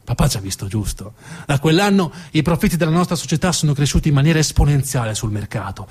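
A man delivering a dramatic narrative theatre monologue with emphatic, deliberate phrasing. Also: on the high side, animated, darkish tone, breathy edge. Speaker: stage storyteller, actor.

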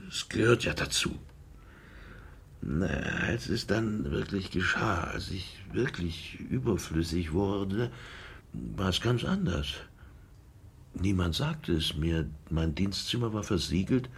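An actor playing a bitter, insecure middle-aged former general, a wounded son, in a naturalistic radio drama. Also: male voice, deep voice, expressive, slow pace, vocal fry, slightly rough, full character voice. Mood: hurt, bitter.

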